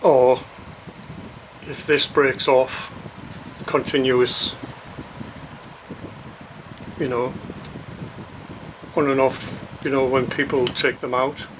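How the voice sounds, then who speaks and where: A man giving a casual, unscripted unboxing commentary, thinking aloud in a halting, hesitant way as he reviews a product. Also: medium pitch, level tone, background noise.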